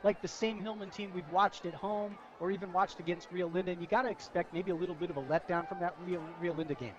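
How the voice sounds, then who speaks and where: A man's voice: high-pitched, fairly even intonation, fast, highs cut off, noisy background. A man giving informal, opinionated football analysis as a color commentator on a radio broadcast. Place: outdoor high school football game.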